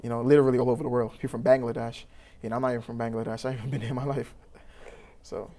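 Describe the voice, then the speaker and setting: A man's voice: medium pitch, flat delivery, very fast, mellow. A young man from Trinidad with a Trinidadian accent, answering interview questions in an earnest, rambling way full of fillers and restarts. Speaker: youth program founder.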